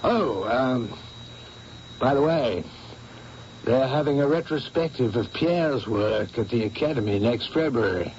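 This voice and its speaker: A man's voice: medium pitch, animated, measured pace, a little mellow. An actor playing a male artist friend in an old radio drama, breezy and chatty, a little evasive. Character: Max, male artist friend visiting from Paris. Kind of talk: 1970s radio drama acting. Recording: dull old radio broadcast, faint hiss.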